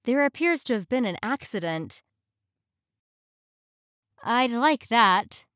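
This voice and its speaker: A woman reading short English practice phrases in a clear, measured way, each one said twice. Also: fairly high pitch, animated, fairly bright tone, no echo, clean studio sound.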